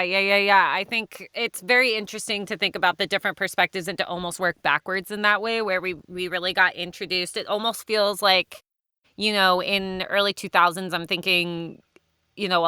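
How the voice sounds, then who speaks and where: Female podcast host, bright and crisp, casual, playful, teasing. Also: on the low side, steady tone, moderate pace, dry recording, studio quality. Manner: peppers talk with "right?" and "you know".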